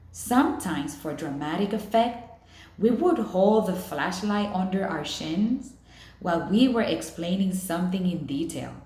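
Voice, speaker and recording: A woman's voice: mid-range voice, a little mellow, reverberant. A woman in the role of a teacher, reading a children's novel aloud and talking directly to listeners. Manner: addresses listeners directly with questions. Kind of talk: storybook read-aloud with comments to students.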